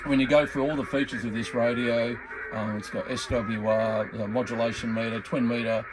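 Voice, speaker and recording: A man's voice: medium pitch, little pitch movement, moderate pace. A man giving a casual, hobbyist demonstration commentary, presenting in an informal way. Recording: loud radio static and noise. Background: CB radio static and chatter.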